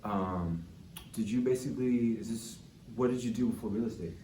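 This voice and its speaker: A young adult man hosting a podcast in a casual, enthusiastic interview chat, asking questions and reacting with excitement. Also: lowish voice, normal speed, recorded from a distance. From Bay Area, California. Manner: enthusiastic, exclaiming. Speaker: podcast host. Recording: echoey room with background noise.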